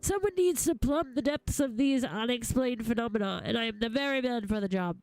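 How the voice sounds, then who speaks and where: A woman's voice: fairly high pitch, a little fast, darkish tone, no echo, voice pushed down, close to an everyday voice, low-quality recording. Woman doing an earnest, self-important male investigator. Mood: intrigued, resolute. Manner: formal, wordy, dramatic declarations. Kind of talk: video game dialogue read-aloud. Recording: faint music behind.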